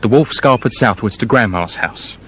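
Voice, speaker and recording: An actor playing a fussy, defensive male writer who haltingly narrates a fairy tale in a comic radio play. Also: lowish voice, animated, bright, forceful tone, a little hiss or hum. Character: flustered, defensive male storyteller, making it up as he goes.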